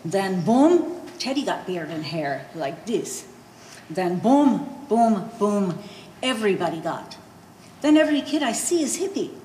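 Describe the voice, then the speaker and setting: A woman's voice: medium pitch, very animated, measured pace, warm tone. A woman playing a blunt old mother who speaks broken English with dropped articles in a live one-act stage play. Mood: wry reminiscing. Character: blunt old immigrant mother of a grown son. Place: art gallery stage reading. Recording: roomy echoing hall, faint noise.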